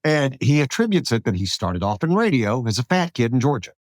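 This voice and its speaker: Middle-aged male radio-style host from South Alabama, lively pitch movement, casual and anecdotal, dry recording. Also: a little fast, no room sound. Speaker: podcast host, radio broadcaster. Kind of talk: casual conversational interview.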